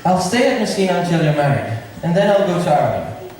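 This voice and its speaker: A man's voice: fairly high pitch, sing-song, quick pace, darkish tone. A male student drama club performer playing a teasing, jovial prince on stage. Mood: genial. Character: teasing, jovial prince among his friends. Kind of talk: amateur stage acting. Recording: very echoey hall, distant mic, faint hiss.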